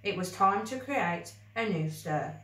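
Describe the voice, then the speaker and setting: A woman reading a children's story aloud in an expressive, scripted storybook style. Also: mid-range voice, unhurried. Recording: echoey room, distant mic.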